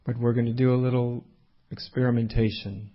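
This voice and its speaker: A man leading a guided meditation as a teacher, speaking unhurriedly in short phrases with long pauses. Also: medium pitch, level tone, dull audio.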